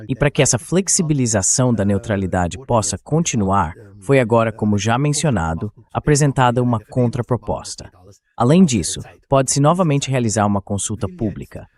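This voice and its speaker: A man speaks as an interview guest, explaining political matters in a measured way, like a dubbed translation. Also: mid-range voice, lively intonation, a little mellow.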